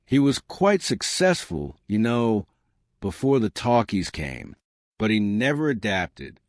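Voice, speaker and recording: A man voicing a reserved, wistful woman in a story, speaking in a measured, matter-of-fact way. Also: fairly low pitch, sing-song, no room sound, clean studio sound. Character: reserved woman explaining her obsessive brother, wistful.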